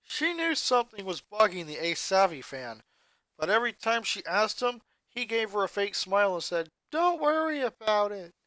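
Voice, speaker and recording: Expressive fan fiction narrator, lively pitch, bright tone, dry close sound. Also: moderate pace, no echo. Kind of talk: storybook narration.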